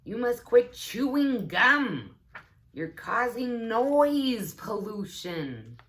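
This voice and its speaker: A stern storybook schoolteacher dinosaur, put on by a woman reading aloud. She speaks high and slowly, scolding with drawn-out emphasis. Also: sing-song, exaggerated voice. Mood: exasperated.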